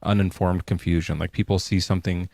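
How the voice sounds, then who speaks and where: A man's voice: low-pitched, little pitch movement, fairly quick, vocal fry, mellow, no echo. A male podcast host talking casually from his garage in a jokey, loose way, with plenty of swearing. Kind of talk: casual debate chat. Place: home garage.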